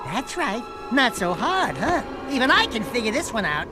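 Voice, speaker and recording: An actor voicing a cocky, vain, wisecracking little sidekick creature in an animated video game, boastful and dramatic. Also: mid-range voice, normal speed, music in the background, lightly acted. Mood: smug.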